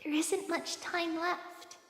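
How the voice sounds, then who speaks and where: A small, gentle demigod girl from an anime game, wise and wistful beyond her looks. The voice is high and childlike, with slow, measured phrasing. It rings in a long hall reverb with music underneath. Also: flat delivery, full character voice. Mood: solemn, wistful.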